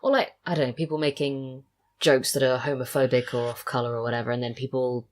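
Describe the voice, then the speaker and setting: A woman's voice: very deep voice, level tone, fast talker, a little mellow. A woman chatting casually as a podcast guest, thoughtful and hesitant, often trailing off. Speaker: podcast guest, audio drama writer. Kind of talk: casual interview chat.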